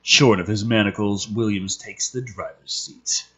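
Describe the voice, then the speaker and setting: A man's voice: lowish voice, measured pace, fairly bright tone, slightly rough, muffled recording. A man reading a screenplay aloud in a theatrical, emphatic way, dropping into casual, sweary, sardonic commentary. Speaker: host reading and commenting on a film script.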